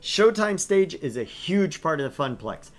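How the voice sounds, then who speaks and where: A man hosting a let's play, reading a visual novel aloud with lively, animated delivery and joking asides. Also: a little high, moderate pace.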